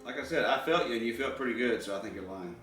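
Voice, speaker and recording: A man's voice: mid-range voice, quick pace, fairly bright tone, far from the mic. A man bantering casually as co-host of a home vlog, teasing and wisecracking. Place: indoors in a trailer home. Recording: roomy echo, off the mic, faint music.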